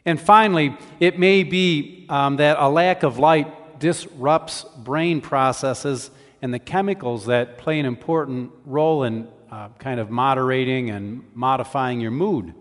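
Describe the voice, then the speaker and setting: A man giving a conversational sermon as a preacher, in a measured, explanatory way. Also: medium pitch. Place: large church hall. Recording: reverberant hall sound.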